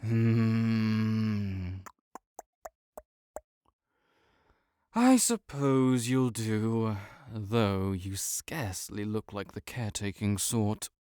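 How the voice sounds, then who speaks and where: A man's voice: lowish voice, expressive, very slow, dark tone, clean tone, dry recording. A man playing a proud, sardonic invalid nobleman in an audio roleplay, speaking with disdainful, formal, old-fashioned diction. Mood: haughty, dismissive. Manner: haughty, archaic formal diction, disdainful drawl. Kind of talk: scripted audio roleplay monologue.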